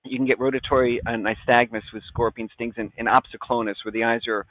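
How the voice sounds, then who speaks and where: A man's voice: medium pitch, flat delivery, fast talker, no room sound. A middle-aged man, a physician guest explaining medicine conversationally, with folksy, informal phrasing mixed into technical jargon. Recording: phone-like, narrow-band audio.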